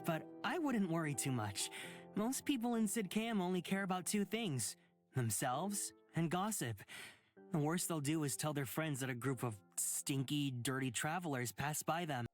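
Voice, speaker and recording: Game role: sardonic young rich guy, lowish, very animated, over music. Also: normal speed. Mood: wry, breezy. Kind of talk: visual novel voice acting. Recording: music under the voice.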